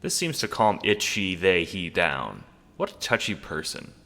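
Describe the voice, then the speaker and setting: A man narrating a scripted audio drama in a theatrical, deliberate storytelling manner. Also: sits low, sing-song, pushed and bright, in a large hall, slight background noise.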